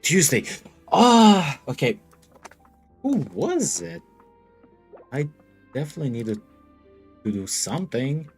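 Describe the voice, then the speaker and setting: A man's voice: fairly high pitch, very animated, takes it slowly, gravelly, long pauses, darkish tone, slightly rough, faint music behind. A man streaming a game, thinking aloud in a rambling, casual way and laughing often. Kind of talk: casual gaming livestream chatter.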